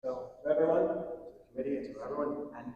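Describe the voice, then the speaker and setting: A man's voice: medium pitch, fast talker, warm tone, highs cut off, a little hiss or hum. A man giving a prepared thank-you speech as an audiobook producer. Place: large indoor hall at an awards ceremony. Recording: very echoey hall, distant from mic.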